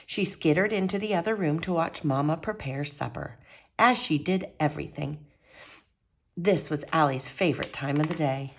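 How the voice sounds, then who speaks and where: Low-voiced woman reading a children's book. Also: moderate pace. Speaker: read-aloud storyteller.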